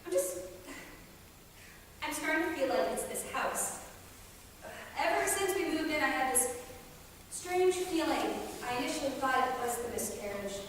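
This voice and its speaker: A woman's voice: high voice, lively intonation, moderate pace, pauses often. A woman playing an anxious, frustrated young married woman in a staged play reading, with earnest, emotional delivery. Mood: troubled, anxious. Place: theatre stage, distant microphone. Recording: very echoey hall from far off, noisy.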